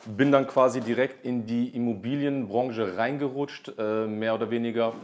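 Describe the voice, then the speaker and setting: A man's voice: mid-range voice, fairly even intonation, normal speed, darkish tone. A man in his thirties from Germany, a podcast guest talking casually with many fillers and restarts. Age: 30s. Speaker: podcast guest, real estate agent.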